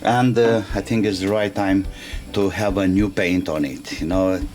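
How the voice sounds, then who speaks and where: A man who speaks English as a second language, hosting a casual hands-on tutorial in simple, halting step-by-step phrases. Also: low-pitched, steady tone, a little mellow. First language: not English. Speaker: DIY tutorial host. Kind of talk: casual hands-on how-to narration. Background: music.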